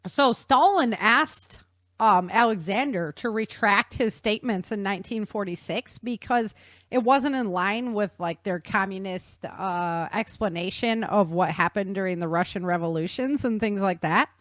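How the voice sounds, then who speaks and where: A woman's voice: medium pitch, moderate pace, a bit bright, no room sound, clean studio sound. A woman presenting an informal explanatory science talk in a casual, conversational, slangy way. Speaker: author and presenter.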